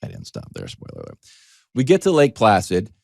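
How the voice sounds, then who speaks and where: A middle-aged man telling a conversational story as a sports talk panelist, animated and wry, slipping into imagined dialogue. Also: fairly low pitch, a little fast, vocal fry, no room sound, clean studio sound.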